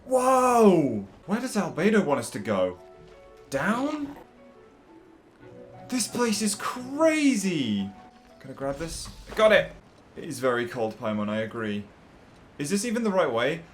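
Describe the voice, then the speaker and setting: A man's voice: on the high side, sing-song, takes it slowly, pauses often, soft background music. A young man giving casual, chatty gameplay commentary, playfully reacting aloud as he goes. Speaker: gaming video creator.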